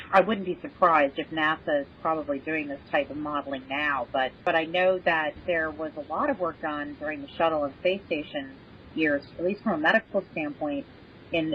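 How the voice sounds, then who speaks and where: A woman speaking as an expert guest in an unscripted podcast discussion, explaining in a measured, think-aloud way. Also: deep voice, slight background noise. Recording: dull, band-limited audio.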